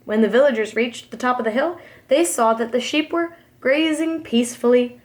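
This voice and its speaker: A woman's voice: fairly high pitch, normal speed. A woman preschool teacher reading a storybook aloud expressively for young children. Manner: expressive, addresses young listeners.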